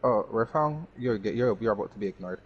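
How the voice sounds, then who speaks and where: A man's voice: lowish voice, expressive, brisk, slightly rough, dull audio. A man giving casual gameplay commentary, chatting to himself and to the game's characters. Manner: talks to himself and the game characters. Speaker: gaming streamer. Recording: band-limited mic with faint background noise.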